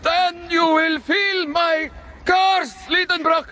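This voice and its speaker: An actor playing a dying, vengeful Icelandic count in a melodramatic radio drama, declaiming with menace. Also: male voice, very high voice, steady tone, takes it slowly, pushed and bright, raised voice, exaggerated voice. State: weak, dying.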